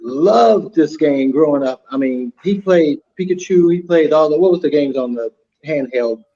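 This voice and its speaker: A middle-aged man on a live-stream panel, talking in a halting, hesitant way. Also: fairly high pitch, mellow. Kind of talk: casual live-stream chat. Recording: dull lo-fi stream mic.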